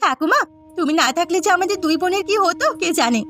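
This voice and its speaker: Cartoon village maid, voiced by a woman: young, earnest, imploring, very high-pitched. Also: lively intonation, quick pace, a bit bright, soft background music. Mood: grateful. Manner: plaintive, imploring. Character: poor, earnest, pleading young village housemaid. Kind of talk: Bengali cartoon story voice acting.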